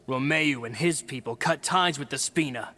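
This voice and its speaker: An actor playing a composed, articulate guild aide in a video game, speaking in a measured, formal way. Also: male voice, mid-range voice, pushed and bright, natural voice. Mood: sober.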